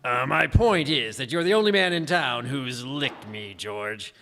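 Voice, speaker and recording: An actor playing a scheming, condescending old rich businessman in a radio play, gravelly and pompous, hemming as he goes. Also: mid-range voice, measured pace, sharp tone. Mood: coaxing, smug. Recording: echoey hall, stage reverb.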